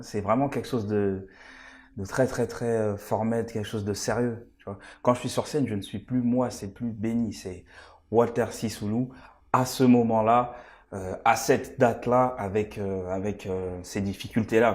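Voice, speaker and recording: A man's voice: lowish voice, moderate pace, darkish tone. A man in his twenties, an actor raised in France and born in Congo, answering interview questions in an earnest, hesitant way with many fillers. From Congo-Kinshasa, raised in France. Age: 20s. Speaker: stage actor, interview guest.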